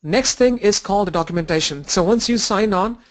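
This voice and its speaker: A man with a cold and a blocked nose, teaching an online training lesson with halting fillers and restarts. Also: high voice. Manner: halting, restarts and fillers, walks through screens. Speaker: instructor.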